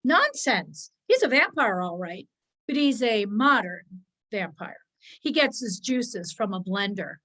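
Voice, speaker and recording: A woman's voice: mid-range voice, sing-song, fairly bright tone, poor audio. Woman voicing a pompous, intense storybook cat. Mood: insistent. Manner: emphatic, deliberate, pompous. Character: intense, self-important pet cat, earnest amateur sleuth.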